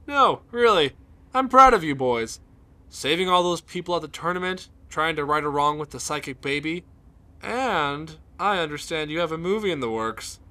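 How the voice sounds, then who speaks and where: A man's voice: fairly high pitch, animated, moderate pace, sharp tone. A man voicing a suave, scheming immortal god in a cartoon, with a polite salesman's delivery. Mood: jovial, proud. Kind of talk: animated web series dialogue.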